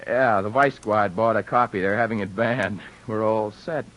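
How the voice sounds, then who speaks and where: An actor playing a slick, fast-talking male publisher, brash and glib, in old-time radio acting. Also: on the low side, fairly bright tone, natural voice, rough recording. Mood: scheming. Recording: old radio recording with faint music.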